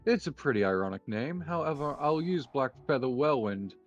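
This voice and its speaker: Casting: man, mid-range voice, deliberate and mock-formal duelist musing over moves, music underneath. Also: medium pitch, animated, lo-fi sound. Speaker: player in a card duel roleplay. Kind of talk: improvised anime card-duel roleplay, calling out moves.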